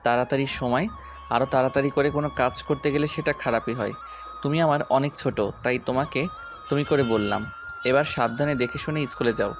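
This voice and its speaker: Man acting a gently bossy young neighbour. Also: medium pitch, brisk, natural voice. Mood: calm, instructing. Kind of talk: audio story acting.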